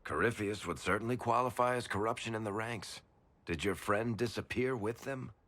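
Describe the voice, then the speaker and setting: An actor playing a wry, slightly uneasy go-between in a video game cutscene, speaking in a conversational, hesitant way. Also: male voice, on the low side, moderate pace, fairly bright tone, lightly acted. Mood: grave, wry.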